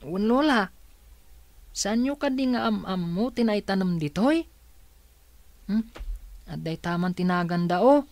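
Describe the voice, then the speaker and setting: A woman playing a kind older orphan child in a radio drama, gently coaxing an old woman to eat. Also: mid-range voice, animated, unhurried, long pauses, no echo. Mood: caring. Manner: coaxing, caring.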